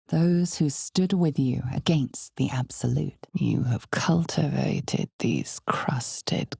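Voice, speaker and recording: An ominous, all-knowing narrator for a fantasy video game, in a very low, breathy female voice. She speaks slowly and theatrically, often sinking toward a whisper, close and dry as if right at the ear. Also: a little mellow, dry recording, heavily acted. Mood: ominous, hushed. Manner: measured, theatrical, ominous storyteller delivery. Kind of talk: dramatic second-person fantasy narration.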